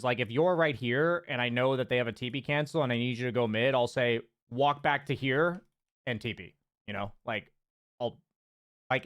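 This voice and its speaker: Chatty, laid-back male game coach. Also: mid-range voice. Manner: loose and chatty, many fillers, casual swearing. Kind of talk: casual one-on-one coaching. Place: streaming from home.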